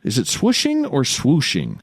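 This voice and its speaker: A man's voice: medium pitch, wildly swooping pitch, measured pace, no room sound, studio quality. A man hosting a late-night radio show, chatting casually and joking over word mix-ups.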